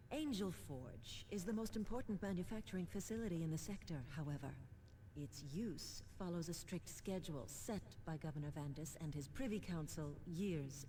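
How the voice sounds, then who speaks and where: An actress playing a composed, diplomatic government liaison in a science fiction video game, speaking in a formal, measured, coolly official way. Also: female voice, fairly low pitch, dark tone, slight background noise. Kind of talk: formal scripted game dialogue.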